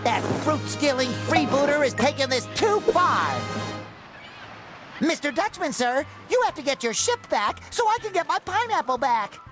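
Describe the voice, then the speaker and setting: A man voicing an eager, childlike, naive talking sea sponge in an animated game cutscene, earnest and excitable. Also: very high-pitched, moderate pace, over music. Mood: concerned, indignant.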